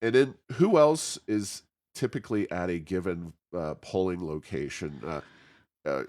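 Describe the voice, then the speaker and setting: A man conducting a conversational interview, asking questions in a halting, hesitant way. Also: deep voice, darkish tone. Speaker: podcast interviewer.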